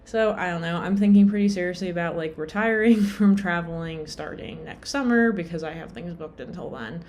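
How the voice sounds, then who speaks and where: Low-voiced woman rambling casually. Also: a little fast, darkish tone, a little hiss or hum. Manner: rambling, lots of "like" and "um", self-deprecating. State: tired. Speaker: vlogger.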